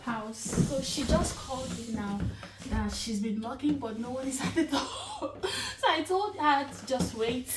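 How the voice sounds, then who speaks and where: A woman's voice: high voice, big pitch swings, a little slow, very noisy. A young woman with a Nigerian accent, talking casually and laughing often as she vlogs her evening at home. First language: Igbo. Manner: chatty, laughs often, soft-spoken. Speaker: vlogger. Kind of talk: casual vlog narration.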